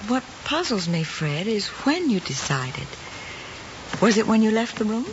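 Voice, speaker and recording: An actress playing a composed, gently probing woman teacher, measured and proper in an old screen drama. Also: mid-range voice, very animated. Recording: dull, hissy old film soundtrack.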